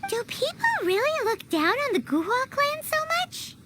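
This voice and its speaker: A woman playing a tiny, excitable, cheeky childlike fairy companion with a squeaky voice in a video game. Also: moderate pace, heavy background noise. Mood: curious. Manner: dramatic, refers to self in third person.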